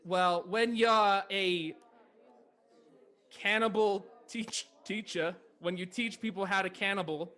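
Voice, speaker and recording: A man's voice: high-pitched, fairly even intonation, takes it slowly, sharp tone. A man playing along in an improvised comedy podcast bit, with a stammering, rambling delivery.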